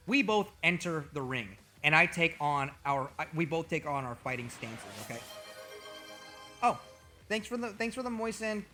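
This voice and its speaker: A man streaming as a VTuber, joking and trash-talking with over-the-top, profane bragging, his voice a little hoarse. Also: fairly high pitch, sharp tone. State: slightly hoarse. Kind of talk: comedic livestream banter.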